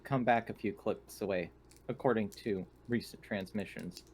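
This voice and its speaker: A man's voice: lowish voice, moderate pace, a little mellow, a little hiss or hum, voice pushed down, natural voice. A man voicing a formal, dutiful, protective synthetic assistant in a stilted manner. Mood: reporting.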